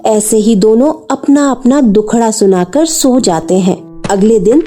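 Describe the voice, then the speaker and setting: A woman's voice: fairly high pitch, a little slow, dark tone, soft background music. A woman narrating a scripted children's story.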